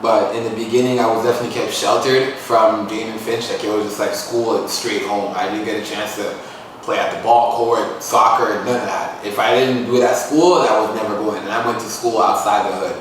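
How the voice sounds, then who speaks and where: A man's voice: on the low side, fairly even intonation, fairly quick, a little hiss or hum. A young man from Toronto, a musician and poet, talking earnestly and casually about his life as a podcast guest. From Toronto, Canada. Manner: earnest, reflective, street-casual phrasing. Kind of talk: casual interview talk. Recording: echoey room, voice far from mic.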